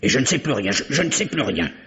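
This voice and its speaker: An actor playing a gruff, grudging tobacconist in a French radio mystery, talking in curt, guarded, colloquial bursts. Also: male voice, medium pitch, big pitch swings, fast, a bit bright. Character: gruff, wary, grudging male London tobacconist. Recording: dull, muffled old radio recording.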